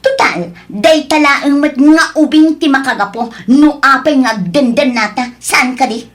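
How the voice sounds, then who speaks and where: A woman playing a demanding, selfish mistress in an Ilocano radio drama, coaxing and haughty, quick to snap. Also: sits high. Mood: defiant.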